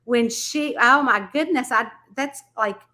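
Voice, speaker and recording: A woman's voice: on the high side, measured pace, noisy background. A woman with a Southern US accent hosting a podcast interview, bubbly and gushing, laughing often. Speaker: podcast host. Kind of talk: casual interview chat.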